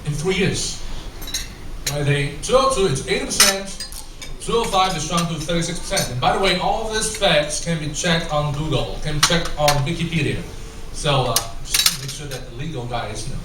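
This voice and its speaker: A man from Singapore with a mixed English and American accent, a corporate trainer giving a chatty talk and quizzing his audience. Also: on the high side. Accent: mix of English and American. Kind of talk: conference talk with audience questions. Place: large echoey hall with an audience. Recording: echoey hall, voice from a distance, noisy.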